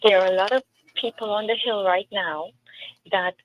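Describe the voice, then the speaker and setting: A woman's voice: mid-range voice, steady tone, moderate pace. A woman with a Trinidadian accent, speaking earnestly and emphatically as a political candidate in an interview. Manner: earnest, emphatic, with storytelling asides. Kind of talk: interview answers. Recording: tinny phone-like line with faint noise.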